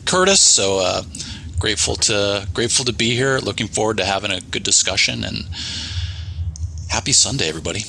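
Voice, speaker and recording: A Canadian man chatting casually on an online call, polite and a bit halting. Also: fairly low pitch, lively intonation, gravelly, pushed and bright, slight background noise, low-quality recording. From British Columbia, Canada. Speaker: guest, energy worker. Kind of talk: casual chat.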